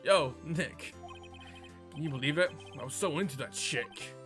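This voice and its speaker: Guy voicing mopey whiny young dude from a game, super dramatic, highish voice. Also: measured pace, fairly bright tone. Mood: heartbroken. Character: mopey, melodramatic, heartbroken young man, whiny. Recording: music under the voice.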